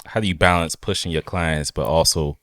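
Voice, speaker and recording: A man with a low voice hosts a podcast and asks relaxed interview questions, pausing on fillers now and then. The sound is dry and close. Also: dry recording.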